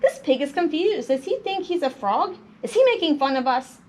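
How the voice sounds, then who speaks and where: A woman's voice: high voice, big pitch swings, normal speed, darkish tone. A woman voicing indignant, bossy pond frogs from a children's storybook in an exclamatory, affronted manner. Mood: indignant, baffled. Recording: noticeable background noise.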